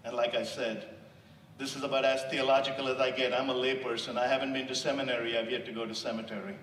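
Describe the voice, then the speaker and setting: A man's voice: medium pitch, level tone, quick pace, slight background noise. Passionate Indian-accented man in echoey hall. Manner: impassioned, rising to loud emphasis. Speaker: motivational speaker. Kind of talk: sermon-style speech. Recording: echoey hall, distant mic.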